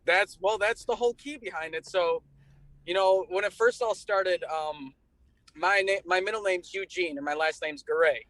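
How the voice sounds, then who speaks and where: A man's voice: high-pitched, normal speed, fairly bright tone, dry recording, low-quality recording. An American man, a band's lead singer, chatting casually and in slang as an interview guest. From United States.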